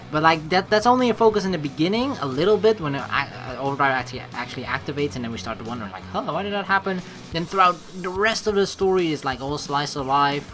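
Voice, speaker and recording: A woman with a low voice hosts a let's play. Her pitch moves around a lot as she rambles informally and reads along. Faint game music sits behind her. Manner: rambling, animated, informal with mild swearing. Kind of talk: casual game commentary and reading aloud.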